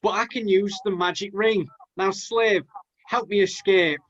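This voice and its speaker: A man's voice: high voice, fairly even intonation, unhurried, fairly bright tone, highs cut off. A man playing a plucky, naive young Aladdin in a rhyming pantomime, with an earnest theatrical delivery. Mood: anxious. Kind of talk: pantomime acting.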